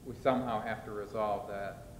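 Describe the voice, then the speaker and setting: A man on a discussion panel talks in a low, flat voice, wandering through his point with many fillers and restarts. He is recorded in an echoey hall with a long reverb tail and steady background noise. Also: moderate pace. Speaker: farmer panelist. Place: large hall, panel event.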